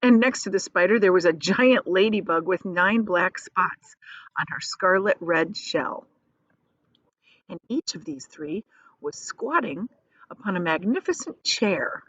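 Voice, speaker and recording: A woman teacher reading a children's storybook aloud expressively, pausing to explain things in simple, casual words. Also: lowish voice, lively intonation, fairly bright tone, dry recording, lo-fi sound.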